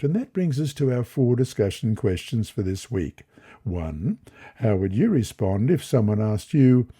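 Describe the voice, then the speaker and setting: A man reading a devotional lesson aloud in a measured, deliberate manner. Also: medium pitch, warm tone, husky. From Australia. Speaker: lesson reader and presenter.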